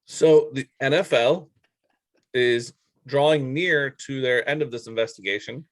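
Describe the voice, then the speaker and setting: A man's voice: mid-range voice, measured pace, no room sound. A man co-hosting a sports show in casual, bantering conversation.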